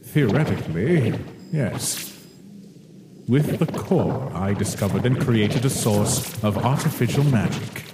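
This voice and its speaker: A man playing a cold, condescending monster scientist obsessed with his work, speaking formally and deliberately in a dramatic comic dub. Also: on the low side, lively intonation, noisy background. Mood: brooding, ambitious. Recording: echoey hall reverb, music behind.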